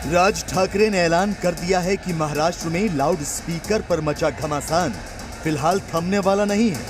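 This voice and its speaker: A man with a high-pitched voice narrates a scripted news report. His delivery is emphatic and dramatic. Prominent music plays underneath him throughout. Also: moderate pace. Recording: voice-over with music bed.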